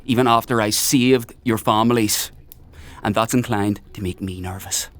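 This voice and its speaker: An actor playing a menacing, paranoid military paragon in a dark fantasy audio drama, mock-friendly and needling in his drawn-out questions. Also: on the low side, close to an everyday voice. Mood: needling suspicion.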